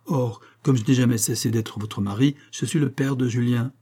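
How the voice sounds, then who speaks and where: Man voicing a sneering, cruel husband, mellow tone. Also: medium pitch, moderate pace, slightly rough, natural voice. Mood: menacing. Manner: sneering, sarcastic, coldly domineering. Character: cruel, mocking estranged husband, menacing and smug. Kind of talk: dramatic audiobook dialogue.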